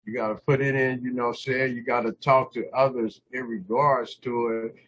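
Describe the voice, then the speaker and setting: An older man hosting a talk show, hoarse, clearing his throat often and saying "you know what I'm saying" a lot. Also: mid-range voice, flat delivery, moderate pace, a bit bright, slight background noise, low-quality recording. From California. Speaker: host. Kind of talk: casual talk show monologue.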